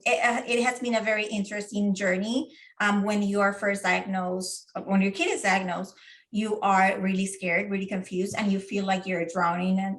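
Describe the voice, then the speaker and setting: A middle-aged woman speaks as an interview guest. Her voice sits at an ordinary middle pitch, and she talks earnestly, often pausing on fillers and restarting her phrases. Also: fairly bright tone, low-quality recording. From Puerto Rico. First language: Spanish. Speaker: guest, diabetes advocate and parent. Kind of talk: casual interview.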